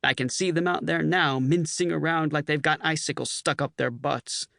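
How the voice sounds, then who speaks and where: A man voicing a brash, boastful, hard-drinking Marine colonel with a loud, swaggering delivery. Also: a little high, steady tone, moderate pace, creaky, no echo, clean studio sound. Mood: lecturing.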